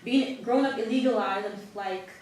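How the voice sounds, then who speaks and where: A woman's voice: fairly high pitch, a little mellow, a little hiss or hum. Woman speaking from far in an echoey hall. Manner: thoughtful, halting, casual. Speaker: panelist. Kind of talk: panel discussion.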